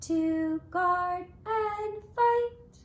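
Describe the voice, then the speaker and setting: A woman voicing an earnest young farmer's son who pleads in drawn-out, half-sung verse for a puppet show. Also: very high voice, faint music behind, pitched above the performer's own voice, exaggerated voice. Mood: pleading, earnest. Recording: roomy, echoey sound.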